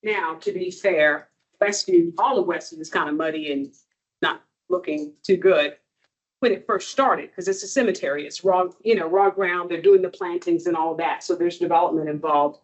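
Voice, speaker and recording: A woman's voice: on the low side, normal speed. A woman historian giving an informal lecture to an audience, talking loosely with frequent ums and restarts. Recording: dull, muffled lo-fi sound.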